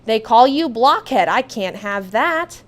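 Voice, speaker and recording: Woman acting loud, angry, domineering father. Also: a little high, animated, a little slow. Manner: loud, commanding. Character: angry, domineering merchant father, adult man.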